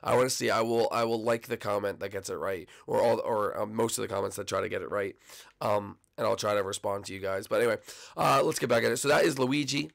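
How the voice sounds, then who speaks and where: A young man chats casually over gameplay footage, his voice hoarse and froggy. He rambles with plenty of ums and uhs, and a gravelly fry runs through his speech. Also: fairly low pitch, quick pace. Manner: rambling, many fillers. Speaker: gaming channel host. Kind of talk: casual video commentary.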